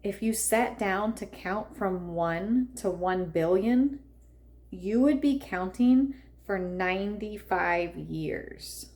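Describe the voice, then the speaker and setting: Slow-paced woman reading a picture book. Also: mid-range voice, darkish tone. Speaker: read-aloud narrator for children. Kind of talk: expressive picture-book read-aloud.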